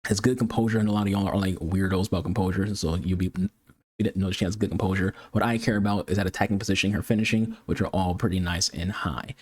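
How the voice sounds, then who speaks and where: A man who talks fast and clutters his words, repeating and restarting often, giving casual commentary for a video game review. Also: husky. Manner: excitable high-pitched greeting. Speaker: gaming YouTuber.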